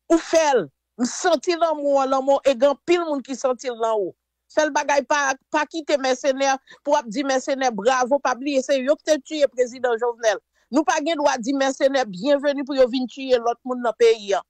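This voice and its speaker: A Haitian woman talks in a high, bright register with fervent, emphatic delivery, pressing her points hard. Her voice sounds close and dry, with no room around it. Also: dry recording. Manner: fervent, emphatic, mixes French with Creole and English phrases. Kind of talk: impassioned political discussion.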